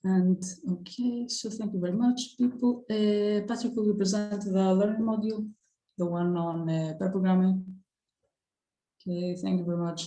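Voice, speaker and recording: A woman on a video call handing out tasks to a team, halting and encouraging, with frequent "uh"s. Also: medium pitch, steady tone, mellow, reverberant, low-quality recording. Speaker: facilitator assigning issues. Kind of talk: online meeting.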